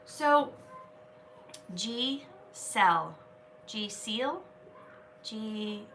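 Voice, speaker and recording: A woman's voice: fairly high pitch, animated, drawn-out words, fairly bright tone, distant mic, a little hiss or hum. A woman hosting a casual live stream, chatting with viewers and reading their comments aloud while she has a cold and keeps coughing.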